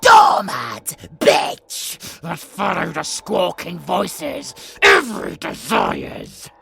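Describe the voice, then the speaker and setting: Casting: a bloodthirsty, manic vampire abomination, heavy gravel growl, wildly swinging from whispers to shouts, horror audio drama. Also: mid-range voice, wildly swooping pitch, drawn-out words, exaggerated voice. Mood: scornful glee. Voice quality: gravelly, growling, breathy cackles. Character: gleefully deranged, bloodthirsty immortal vampire monster. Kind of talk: horror audio roleplay acting.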